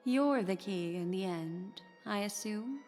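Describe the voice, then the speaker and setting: A woman's voice: mid-range voice, expressive. An actress playing a refined, skeptical and enigmatic woman in a video game, with cool, faintly amused poise. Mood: cool, measured. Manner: unhurried, refined, coolly amused. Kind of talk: scripted video game acting. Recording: game audio over loud music.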